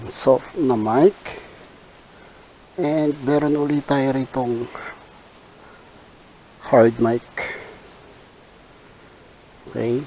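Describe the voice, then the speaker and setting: A Filipino man talks through a product in a soft-edged, relaxed tone. His delivery is unhurried and halting, as if he is thinking aloud while he reviews. A faint hiss sits behind his voice. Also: mid-range voice, rough recording. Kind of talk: casual unboxing commentary. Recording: hissy background noise.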